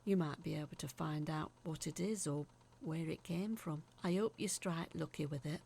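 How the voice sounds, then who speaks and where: A woman telling a spooky first-person story in a dramatic, uneasy, confiding way, sometimes dropping to a hush. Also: deep voice, moderate pace, vocal fry, slight background noise. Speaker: narrator of a horror audio story.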